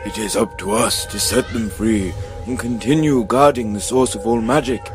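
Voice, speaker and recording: A man playing a solemn, absurdly earnest wizard guide in a comic radio play, with portentous, dramatic pauses. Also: medium pitch, over music, close to an everyday voice.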